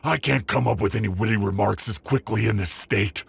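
A man playing a wounded, stubborn dragon-shifter henchman in an audio roleplay, gravelly, strained and cheekily sarcastic. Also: fairly low pitch, fairly quick, a little hiss or hum. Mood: sarcastic, weary.

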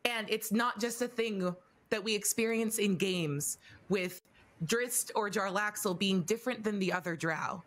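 A woman's voice: mid-range voice, a little slow, a bit bright. A young woman on a headset at home, speaking in a mid-range voice. She lays out her argument step by step, pointed and controlled, in an earnest panel discussion. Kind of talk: impassioned roundtable discussion. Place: home room, indoors.

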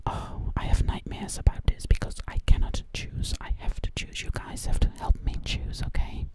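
A woman voicing a sinister, obsessive witch in a close, breathy ASMR roleplay, repeating words hypnotically. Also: moderate pace, natural voice. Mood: gloating, torn. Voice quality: breathy whisper. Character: sinister, obsessive female witch hunting for victims. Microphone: close microphone.

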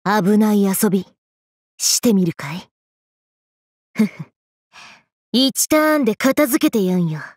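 A woman's voice: mid-range voice, animated, slow, long pauses, darkish tone, dry recording, studio quality. A woman voicing a swaggering young bad-girl heroine in an anime mobile game, talking in a teasing, cocky, slangy way.

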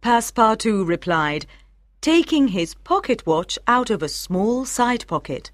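A woman narrating a scripted story as an audiobook for language learners, with careful, clear phrasing. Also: a little high, sing-song, unhurried, no room sound, studio quality.

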